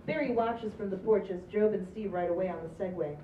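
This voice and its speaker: A woman's voice: mid-range voice, fairly quick, slight background noise. A woman reading the stage directions of a script at a table read in a matter-of-fact way. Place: small stage with green backdrop. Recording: distant, roomy, dull audio.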